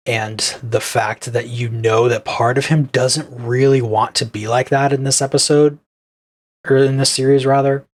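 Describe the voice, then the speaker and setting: A man co-hosting a podcast, talking casually as he shares his views in a review. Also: mid-range voice. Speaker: podcast co-host. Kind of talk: casual review discussion of a cartoon.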